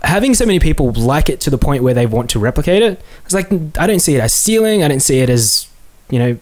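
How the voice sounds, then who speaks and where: Male podcast co-host, mid-pitched, laid-back but keen, casual and sweary delivery. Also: very animated, fast talker. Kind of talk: casual chat.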